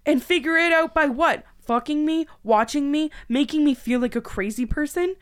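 A woman acting a hurt, angry young woman who confronts her dangerous ex in a dark audio drama, with raw emotion. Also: sits high, normal speed, lightly acted. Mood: angry, accusing. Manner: emotional, swinging between breathy whispers and outbursts.

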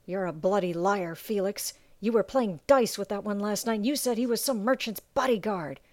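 A woman's voice: mid-range voice, moderate pace, close to an everyday voice. A woman voicing a brash male sailor who swears constantly and mocks his shipmate. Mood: scornful. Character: foul-mouthed male sailor, scornful and brash.

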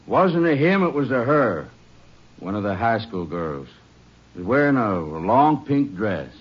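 A small-town suspect in a vintage radio western. He is defensive and halting, with a rough husky voice and lively swings of pitch. The old recording sounds dull. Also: heavily acted. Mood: cornered, evasive. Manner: halting, protesting. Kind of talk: 1950s western radio drama acting.

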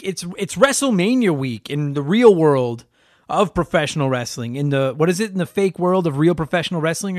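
A man's voice: high voice, no room sound, clean studio sound. A man hosting a retro gaming podcast, talking casually with a stuffed-up nose, rambling and swearing with self-deprecating jokes. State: stuffed up from allergies. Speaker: podcast host.

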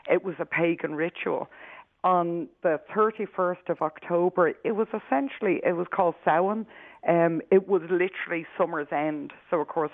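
A woman with an Irish accent, a professor being interviewed on the radio, explaining at length in a measured way with frequent restarts. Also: deep voice, creaky, no echo. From Ireland. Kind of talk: expert explaining on a radio call-in. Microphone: telephone. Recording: tinny phone line, dry.

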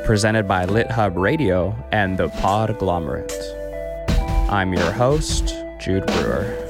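A man's voice: sits low, takes it slowly, loud music behind the voice. A man hosting a podcast, reading a scripted introduction. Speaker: podcast host. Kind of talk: scripted radio theater introduction.

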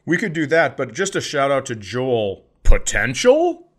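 A man's voice: mid-range voice, wildly swooping pitch, unhurried. A man who is a comedian and podcast co-host, chatting casually and telling stories in a profane, mock-indignant rant. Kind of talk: casual podcast chat and storytelling.

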